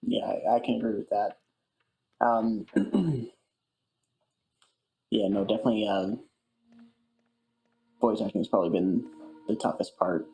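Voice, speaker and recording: A man's voice: on the low side, a little slow, long pauses, mellow, low-quality recording. A man being interviewed in a casual chat, speaking in a hesitant, thoughtful way. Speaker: interview guest, amateur voice actor. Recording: music playing behind. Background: background music.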